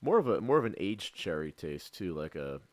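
A man's voice: sits low, very animated, moderate pace. A man chatting casually as a podcast co-host, agreeable, often hesitating and repeating himself. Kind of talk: casual chat.

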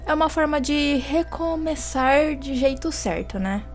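A woman's voice: sits high, expressive, unhurried. A young woman co-hosting a true-crime podcast, rambling with fillers and posing dramatic questions. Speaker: podcast co-host. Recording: faint music behind.

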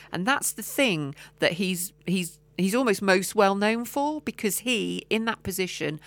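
A woman's voice: fairly low pitch, fairly bright tone. A woman co-hosting a podcast in casual chat, often trailing off with ums and restarts. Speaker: podcast co-host.

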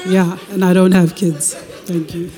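A woman's voice: on the low side, very noisy. A woman from Tanzania introducing herself on a church panel, cheerful and laughing. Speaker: panel guest.

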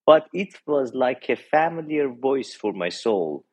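Man in his forties, persian accent, husky, earnest and reflective, halting delivery, interview guest. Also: medium pitch, sing-song. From Iran. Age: 40s. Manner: earnest and reflective, with frequent hesitations. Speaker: pastor, podcast guest. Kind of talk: personal testimony in an interview.

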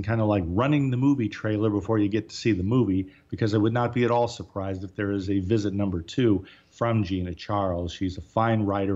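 A man hosting a talk radio show, introducing his guest in a measured, formal and wordy way. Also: on the low side, a little mellow, dull audio. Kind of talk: radio interview.

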